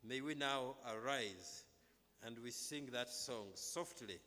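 A middle-aged man with a Ugandan accent welcomes a congregation and gives church announcements in a measured, halting but emphatic way, speaking at a pulpit microphone. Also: mid-range voice, sharp tone. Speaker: clergyman leading a church service. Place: large brick-walled chapel, indoors. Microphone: microphone on stand at pulpit, through face mask. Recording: reverberant hall through PA microphone.

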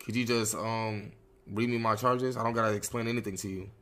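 A man's voice: lowish voice, fairly even intonation, a little fast, sharp tone. A man improvising game roleplay as a suspect in casual street slang, terse and defiant in manner. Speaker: streamer role-playing a suspect.

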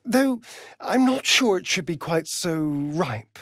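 An actor playing a vain, sardonic, centuries-old vampire spawn in a video game, with an arch, drawling, flamboyant delivery. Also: male voice, sits high, expressive, slow pace, a bit bright, dry recording, studio quality, heavily acted. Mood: wry, musing. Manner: arch, drawling, swinging from purrs to shrieks. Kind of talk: theatrical video game character acting.

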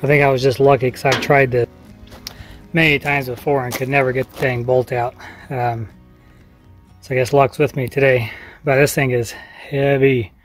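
A man's voice: mid-range voice, level tone, moderate pace, long pauses, soft background music. A man in his thirties vlogging outdoors on a homestead, talking casually as he works on a repair, filmed with the camera held at arm's length. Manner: easygoing, plainspoken, explaining as he works. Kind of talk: casual how-to vlog. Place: outdoors on a farmyard. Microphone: camera mic, selfie at arm's length.

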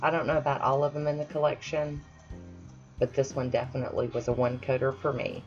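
A woman's voice: a bass voice, level tone, a little fast, dull audio, faint music behind. A woman chatting casually over her own nail painting, self-deprecating with friendly asides. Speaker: nail art vlogger. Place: home manicure station, voice-over.

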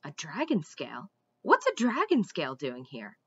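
A woman's voice: low-pitched, huge pitch swings, moderate pace, fairly bright tone, breathy edge, highs cut off, pitched below the performer's own voice, exaggerated voice. A woman voicing a puzzled, grumbling male ruler of an enchanted forest in a storybook, muttering to himself.

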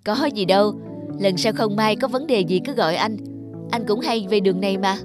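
A man's voice: sits high, normal speed, close to an everyday voice. Cartoon friend role, male actor: young, easygoing, reassuring, music under the dialogue. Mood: friendly, reassuring. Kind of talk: animated romantic drama acting.

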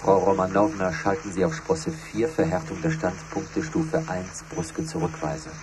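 A formal male officer played by an actor gives clipped orders in a low voice, in a 1970s radio play. Music runs behind him. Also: level tone, normal speed, natural voice. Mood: businesslike. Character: male officer giving crisp escalation orders.

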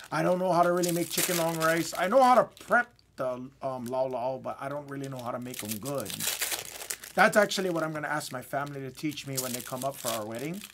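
A man's voice: medium pitch, expressive, fairly quick, background noise. A man with a Hawaiian accent chatting casually as a livestream host. He rambles, repeats his words and laughs often. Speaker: livestream host of card breaks.

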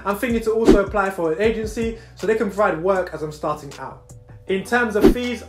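A man's voice: sits high, a bit bright. A young man from Brazil hosting a show, presenting a script to camera in an upbeat, punchy way from a small indoor room. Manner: upbeat, punchy list delivery. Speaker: host. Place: small indoor room against plain wall. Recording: echoey room, light music behind.

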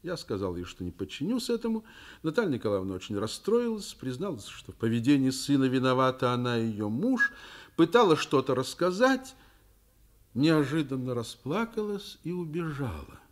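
An actor in a Soviet radio play playing a middle-aged, principled school principal and worried father, speaking in a deliberate, reflective manner. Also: mid-range voice, sing-song, lightly acted. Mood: earnest, troubled. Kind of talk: Soviet radio drama acting, narrating.

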